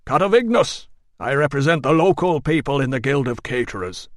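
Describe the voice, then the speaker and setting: Man playing a formal guild man, rich bass, slow and measured, dry sound. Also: animated, dry recording, close to an everyday voice. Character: dignified middle-aged local guild man.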